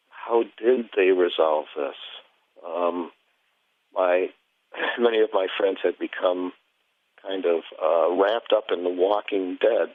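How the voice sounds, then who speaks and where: Male guest, low voice, thin phone-line sound, thoughtful and halting explanations. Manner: halting, thoughtful phrasing in short pieces. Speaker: interview guest. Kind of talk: reflective conversational explanation. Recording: thin, narrow telephone line.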